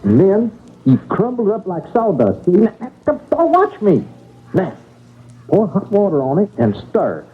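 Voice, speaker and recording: An actor playing a crotchety, bossy old safe-blower in a radio drama, with a folksy, scolding, halting way of talking. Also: male voice, high-pitched, wildly swooping pitch, slight background noise, full character voice. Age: older. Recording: muffled, phone-like radio drama recording.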